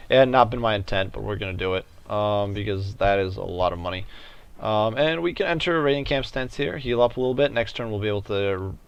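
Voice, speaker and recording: A man giving casual commentary over a strategy game, thinking out loud in a hesitant, halting way. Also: lowish voice, creaky, clean tone, muffled recording. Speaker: gaming video host.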